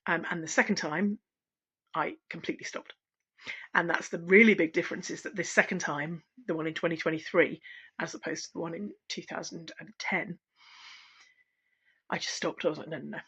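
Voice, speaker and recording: A middle-aged woman, a singing teacher, talking candidly at home in a relaxed, unscripted vlog, pausing and restarting as she reflects. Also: fairly low pitch, breathy edge. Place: at home, wrapped in a blanket. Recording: dull, lo-fi sound.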